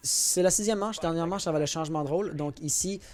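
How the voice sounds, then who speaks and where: Male esports caster, Quebec French accent, slightly high voice, quick and colloquial, game noise behind him. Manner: informal, colloquial, peppered with English gaming slang. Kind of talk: live match commentary with casual asides to chat. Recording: clear background noise with clicks and gunfire. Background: video game gunfire and clicks.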